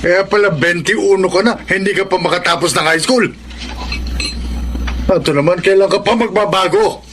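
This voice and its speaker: Stern scolding father, highish voice. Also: normal speed, fairly bright tone, slight background noise. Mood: reproachful. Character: stern, scolding father of a wayward student son. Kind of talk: Tagalog radio drama acting.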